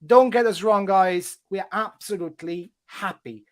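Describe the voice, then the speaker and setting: A host chatting animatedly over a video call, gossipy and playful, with frequent hesitations. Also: male voice, high-pitched, normal speed. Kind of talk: casual video call chat.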